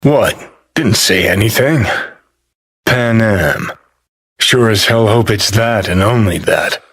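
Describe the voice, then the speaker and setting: A man's voice: lowish voice, takes it slowly, a bit bright. A man playing a cynical, brash rebel rocker in a video game, sardonic, blunt and foul-mouthed. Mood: serious, blunt. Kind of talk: video game character acting.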